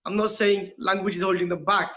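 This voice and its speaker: British guy, middle aged, high forceful voice, explains stuff earnestly in an interview, keeps asking questions. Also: little pitch movement, fairly quick. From United Kingdom. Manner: rhetorical questions, tags points with "right?". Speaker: interview guest, school principal.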